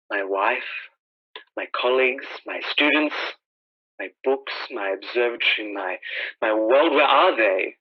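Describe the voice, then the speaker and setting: A male actor voices a haunted, solitary man of learning in a radio drama. He narrates in a brooding, literary way at a medium pitch. The sound is a little dull and lo-fi. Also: lightly acted. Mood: bereft. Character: haunted, lonely astronomy professor, survivor of an invasion. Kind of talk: radio drama monologue, first-person journal narration.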